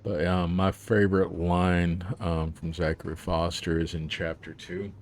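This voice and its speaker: A man's voice: sits low, little pitch movement, unhurried. A man, an author, talking about his book in a casual interview, rambling with plenty of fillers and laughs.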